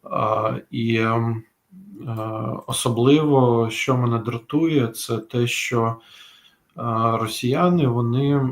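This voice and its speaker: A man's voice: mid-range voice, slow pace. A man from Ukraine talking in a casual, reflective way.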